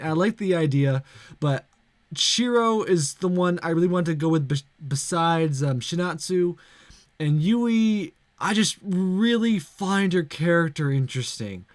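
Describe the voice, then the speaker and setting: A man's voice: on the high side, darkish tone, no room sound. A man hosting a casual let's play, chatting with playful asides and reading visual novel text aloud. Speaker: YouTube let's play host. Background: game music.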